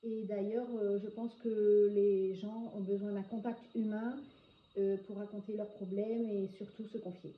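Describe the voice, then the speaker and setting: A woman in her forties answers interview questions at an even, middle pitch, often pausing on fillers before going on. The recording is roomy and echoey, with a dull, muffled tone and a faint hiss behind her. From northern France. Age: 40s. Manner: hesitant, frequent filler pauses. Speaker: hairdresser interviewee.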